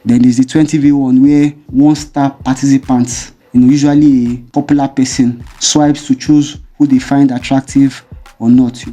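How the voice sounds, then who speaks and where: A man hosting a commentary channel, reading a measured, explanatory script. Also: medium pitch, level tone, mellow, faint music behind. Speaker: video essay host.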